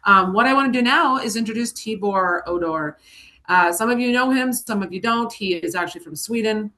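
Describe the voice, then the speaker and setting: A woman's voice: mid-range voice, brisk, pushed and bright. A woman speaking as a webinar host in an informal style of introduction.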